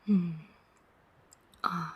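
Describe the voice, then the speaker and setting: A woman voicing a world-weary, sardonic demon who collects souls, in a breathy whispered ASMR roleplay with a deliberate, teasing delivery and many pauses. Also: lo-fi sound. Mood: teasing, wry. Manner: slow, deliberate, teasing, pausing often.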